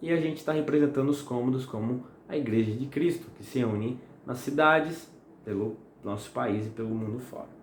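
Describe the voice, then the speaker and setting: A young man from the São preaches at home, slightly hoarse, in a chatty, friendly way that keeps checking in with his listeners. His voice rings in an echoey room with a faint hiss underneath. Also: mid-range voice, moderate pace. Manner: chatty and friendly, asks "tá?" often. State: slightly hoarse and sweating in the heat. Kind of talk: casual home-recorded sermon. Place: home at night.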